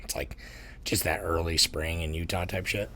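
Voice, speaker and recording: A man with a raspy voice co-hosting a podcast in casual, laid-back chat, swearing and laughing easily. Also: low-pitched, steady tone, normal speed, a bit bright. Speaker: podcast co-host.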